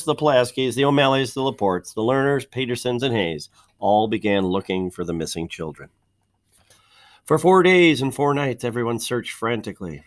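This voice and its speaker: A man reading a children's picture book aloud in an expressive, measured storytelling manner, stressing key words. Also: medium pitch. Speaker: storybook reader.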